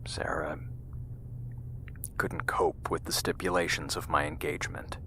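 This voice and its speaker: An actor playing an embittered, world-weary scientist in an audio drama, intense and confiding in manner. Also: male voice, extremely low pitch, level tone, unhurried, creaky, bright, forceful tone, whispered, full character voice. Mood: bitter, weary. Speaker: actor in fiction podcast. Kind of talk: audio drama dialogue and narration.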